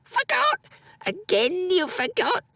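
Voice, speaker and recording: Storybook mother, old, sickly and bullying, very high-pitched and scolding, acted by a male reader. Dry recording. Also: huge pitch swings, slow, creaky, bright, forceful tone, no echo, heavily acted. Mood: outraged. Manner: scolding in repetitive, inverted rustic phrases. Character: harsh, ailing, bullying old peasant mother.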